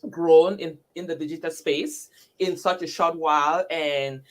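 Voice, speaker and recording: A woman's voice: low voice, steady tone, unhurried. Chatty, enthusiastic woman host. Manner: enthusiastic, chatty, says "girl" and "like" often. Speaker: livestream host. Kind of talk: casual live-stream chat.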